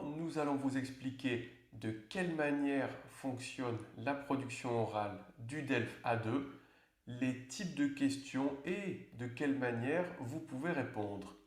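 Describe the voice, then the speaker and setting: Male tutorial host, mid pitch, slow careful delivery, echoing room. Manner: clear, instructive. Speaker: online French course presenter. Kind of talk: scripted exam tutorial narration.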